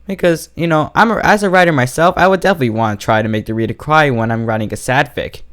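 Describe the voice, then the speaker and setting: A man's voice: mid-range voice, quick pace, fairly bright tone. A man speaking as the host of an online fiction review channel, giving a scripted critique straight to his viewers.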